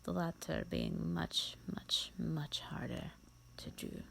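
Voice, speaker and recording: A woman voicing a bored, contemptuous female vampire in an audio roleplay, with a breathy, creaky voice and a disdainful, formal drawl. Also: sits low, slow pace, in a whisper, heavily acted. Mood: bored, haughty. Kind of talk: scripted roleplay monologue for the listener.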